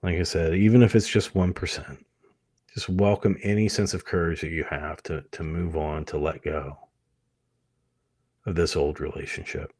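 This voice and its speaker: Low, husky man guiding a meditation. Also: fairly even intonation, dry recording, studio quality. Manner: soothing, gentle, permissive, with many pauses between short phrases. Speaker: hypnotist leading a guided meditation. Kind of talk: guided meditation, hypnosis.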